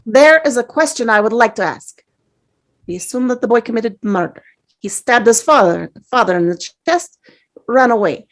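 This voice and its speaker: A woman playing a polite, careful male juror who speaks in a deliberate, probing way at a dramatic table read. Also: on the high side, expressive. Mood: thoughtful.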